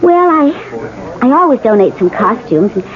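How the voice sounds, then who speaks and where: A woman's voice: a little high, very animated, a little slow. An actress playing a poised, persuasive woman of the theatre in a 1970s radio drama. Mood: sociable, coaxing. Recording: muffled 1970s radio recording, crowd murmur.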